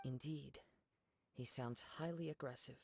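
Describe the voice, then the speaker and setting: A man voicing a calm, courteous young swordsman in a video game, speaking formally and in measured phrases. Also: medium pitch, gravelly. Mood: courteous, serious. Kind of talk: English video game voice acting.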